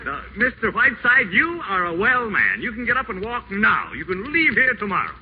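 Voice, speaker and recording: A man's voice: high-pitched, sing-song, moderate pace. An actor playing a chuckling, fawning older small-town male doctor in a 1940s radio play, flustered and eager to please. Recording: muffled old radio broadcast, faint hiss.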